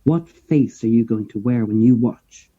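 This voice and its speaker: A man playing an eerie, leering onlooker with a dark, husky voice and creepy eagerness, in a horror audio drama. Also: medium pitch, a little slow, narrow, tinny audio. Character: eerie, leering male onlooker, hungry to watch.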